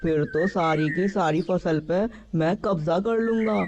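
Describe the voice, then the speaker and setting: A man's voice: high voice, measured pace, a little mellow, muffled recording, a little hiss or hum. A man voicing a lazy, greedy, scheming male crow in a children's cartoon, exclaiming and repeating words as he talks to himself. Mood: gleeful scheming. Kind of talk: children's cartoon story dialogue.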